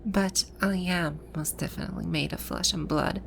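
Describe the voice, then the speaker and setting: A woman's voice: on the low side, steady tone, normal speed, creaky, noisy background. A woman acting a haughty, bewildered video-game villainess in an ASMR roleplay, with pompous, commanding, old-fashioned phrasing. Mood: indignant, boastful.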